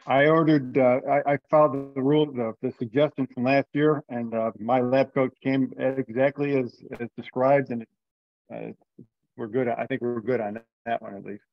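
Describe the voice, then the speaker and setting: A man's voice: medium pitch, steady tone, moderate pace, gravelly, dry recording. A man talking casually in an online meeting, hedging and trailing off between thoughts. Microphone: online conference call. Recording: dry, dull band-limited call audio.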